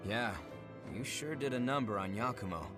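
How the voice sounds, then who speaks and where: An actor playing a calm, thoughtful young male leader in a video game, with a measured, composed delivery. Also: mid-range voice, over music, natural voice. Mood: composed, welcoming. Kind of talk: English video game dub acting.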